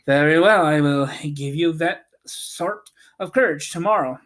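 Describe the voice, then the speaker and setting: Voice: mid-range voice, measured pace, fairly bright tone, natural voice. A reader voicing a meek, kindly little man who is a humbug wizard, apologetic and reassuring, in a storybook. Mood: measured. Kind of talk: storybook character reading.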